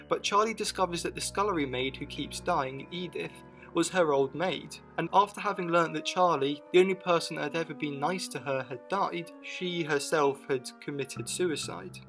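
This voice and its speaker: A man's voice: fairly high pitch, a bit bright. A man narrating a scripted retrospective video essay, adding his own opinions. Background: music bed.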